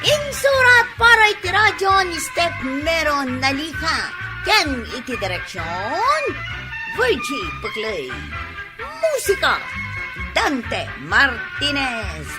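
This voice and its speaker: A woman with an Ilocano accent reads drama credits as an announcer. Her delivery is slow and very animated, with loud music behind her. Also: high voice.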